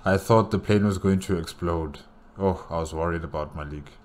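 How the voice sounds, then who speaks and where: A man's voice: sits low, normal speed, darkish tone, a little hiss or hum. A man giving casual reaction commentary as a solo host.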